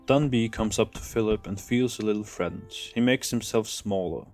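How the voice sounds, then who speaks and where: A man's voice: lowish voice, moderate pace, music in the background. A man reading scripted narration for an audio drama.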